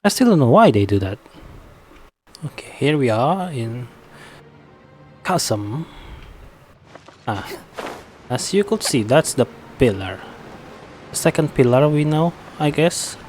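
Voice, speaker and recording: A man giving casual live gameplay commentary as a streamer, reacting in short, halting remarks. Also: medium pitch, sing-song, darkish tone, a little hiss or hum. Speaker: game streamer. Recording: faint game music behind.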